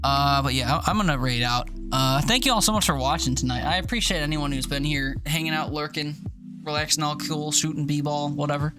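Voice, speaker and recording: A man's voice: fairly high pitch, fairly quick, over music. A tired man with a sore throat chats casually on a livestream, rambling and swearing in a self-deprecating way. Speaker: streamer reading a visual novel aloud.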